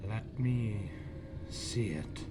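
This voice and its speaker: An actor playing a guilty, haunted husband in a 1940s radio drama, halting and melodramatic, narrating his own crime. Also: lowish voice, expressive, background noise. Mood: shaken. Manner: melodramatic, halting, swinging from hushed to frantic.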